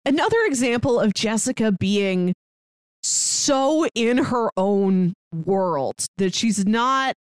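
Female podcast co-host, animated intonation, conversational film-chat delivery, close dry sound. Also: on the high side, slow pace, clear, resonant tone, no echo. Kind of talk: casual film discussion chat.

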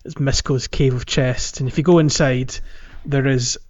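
Guy on a gaming podcast explaining stuff casually, kinda hesitant, average pitch, dull lo-fi audio. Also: steady tone, normal speed, a little mellow. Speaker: podcast co-host. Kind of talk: casual video game chat, explaining quests. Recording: dull, lo-fi sound.

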